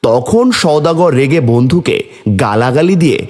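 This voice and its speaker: A man narrating a scripted children's folk tale with expressive storytelling delivery. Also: on the high side, measured pace. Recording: long hall-like reverb.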